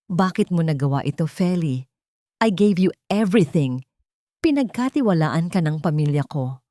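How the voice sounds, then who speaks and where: A woman's voice: deep voice, animated, moderate pace, mellow, dry recording, studio quality. A woman with a Filipino accent voicing a hurt, accusing young rich man in an audiobook drama. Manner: anguished, accusing. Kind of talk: audiobook character dialogue.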